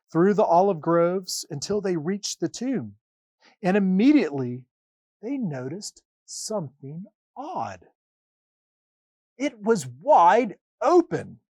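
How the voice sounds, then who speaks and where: A man reading a children's Bible story aloud as a priest, with dramatic, deliberate phrasing and pauses. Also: sits high, darkish tone, no room sound, clean studio sound.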